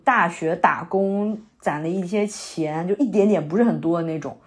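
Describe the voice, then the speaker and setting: A woman's voice: on the low side, moderate pace, lightly acted. A woman acting a young, sentimental, theatre-loving new graduate in a naturalistic audio drama, speaking in a hesitant, conversational way. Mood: easygoing, self-mocking. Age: young adult. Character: young woman fresh out of university, wandering, sentimental theatre lover.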